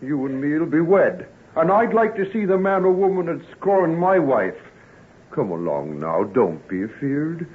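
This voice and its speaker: A man's voice: a little high, very animated, unhurried. An actor playing a tender, stubborn young countryman with a rural English accent in an old radio drama. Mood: protective, indignant. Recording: tinny, hissy old radio drama recording.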